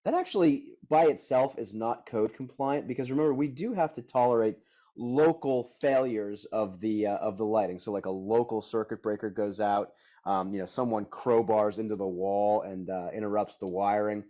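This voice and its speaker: Hoarse, tired man presenting a webinar. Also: on the low side, moderate pace, highs cut off. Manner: energetic, casual, many fillers. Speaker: product development director presenting a webinar.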